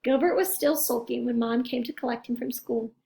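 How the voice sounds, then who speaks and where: A woman reads aloud to children in a high voice with plenty of fry. She is expressive, like a teacher, and speaks straight to her young listeners. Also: fairly quick. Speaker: teacher reading a picture book aloud.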